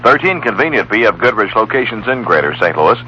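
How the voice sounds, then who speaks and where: A man's voice: on the low side, very animated, fairly quick, slight background noise. An American man reading local commercials as a 1960s radio station announcer. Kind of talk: scripted 1960s radio ad read. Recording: muffled vintage radio recording.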